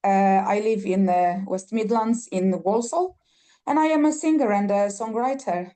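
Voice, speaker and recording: A woman's voice: mid-range voice, dark tone. A woman from Poland, a singer-songwriter guest on a podcast, talking enthusiastically with frequent fillers and restarts. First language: Polish. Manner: enthusiastic, halting with many fillers and repeats. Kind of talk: podcast interview.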